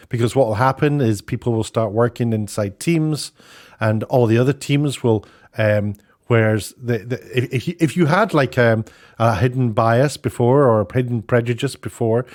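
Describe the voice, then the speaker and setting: A man with a mid-pitched voice hosts an unscripted commentary video. He talks in a halting, rambling way, often breaking off and correcting himself as he argues his opinion. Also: darkish tone. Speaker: YouTube commentator and host.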